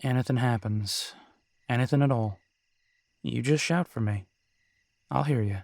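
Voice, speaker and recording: A man's voice: medium pitch, measured pace, gravelly, darkish tone, no echo. Easygoing protective werewolf guy, acted by a man, dry sound. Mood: earnest, protective. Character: easygoing, protective male werewolf, brotherly and amused. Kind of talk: roleplay audio drama, casual dialogue. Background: crickets at night.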